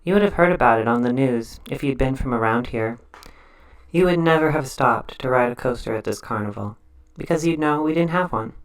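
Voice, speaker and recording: A man's voice: mid-range voice, brisk, lightly acted. A man voicing a ghostly young woman who runs a carnival ride, matter-of-fact with a showy, menacing cheer. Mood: eerily matter-of-fact. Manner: matter-of-fact, philosophical, showy barker intoning.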